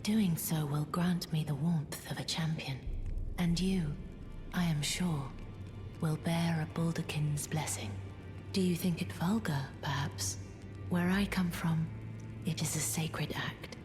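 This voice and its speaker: Melancholy, gentle maiden for a fantasy game: near-whisper, very unhurried, low and courteous. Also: female voice, fairly even intonation, over music. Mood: tender, hushed. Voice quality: soft, breathy near-whisper. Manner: slow, hushed, pleading courtesy. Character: gentle, sorrowful female deathbed companion, courtly and intimate. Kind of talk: video game voice acting.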